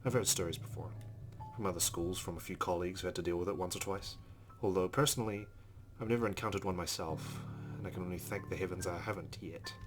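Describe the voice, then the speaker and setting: A man's voice: low-pitched, quick pace. A man reading a visual novel aloud as dramatic narration for a let's-play.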